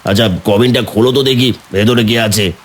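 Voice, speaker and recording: An actor playing a gruff, bossy building secretary in an audio horror story, speaking in curt orders. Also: male voice, medium pitch, fairly even intonation, fairly quick, close to an everyday voice. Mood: commanding, curious.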